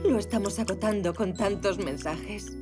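A woman voicing a kindly, busy zebra mother in a children's cartoon dub, gentle and expressive. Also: medium pitch, moderate pace, natural voice. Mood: mildly concerned. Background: children's music.

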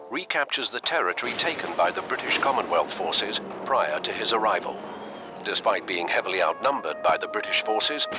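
A man reading scripted documentary-style war narration for a game cutscene in a grave, measured manner. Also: lowish voice, background noise.

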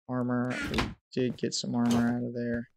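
A man's voice: medium pitch, monotone, moderate pace, gravelly, background noise. A young man with a stuffy nose giving casual commentary on his gameplay over a headset mic in a small room at home. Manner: hyped intro, then muttered play-by-play. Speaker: gaming YouTuber.

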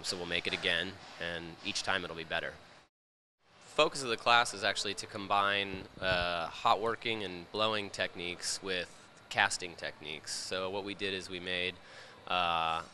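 A man in his thirties explaining his craft in an interview, matter-of-fact with pauses between phrases. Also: sits low, bright, forceful tone, a little hiss or hum. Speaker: glass artist and instructor. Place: large indoor glass studio. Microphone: handheld interview microphone.

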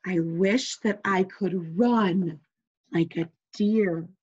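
A woman's voice: fairly low pitch, drawn-out words, darkish tone, breathy edge, dull audio, heavily acted. A woman voicing a small, wistful storybook chameleon who longs to be different. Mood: longing. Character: small chameleon, wishful, forever longing to be different.

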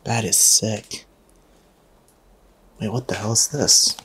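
Brief: young male vlogger, lowish voice, chatty, light hiss behind. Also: takes it slowly. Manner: chatty, excitable, jumpy. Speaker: vlogger exploring an abandoned mansion. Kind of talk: casual walkthrough vlog. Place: indoors in an abandoned house.

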